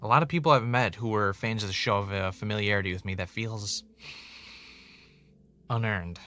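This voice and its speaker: A male podcast host in an audio drama, wry and given to drama. His voice sits low yet has a bright, forceful edge. He talks conversationally, as if narrating his own investigation. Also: moderate pace, soft background music. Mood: curious, casual.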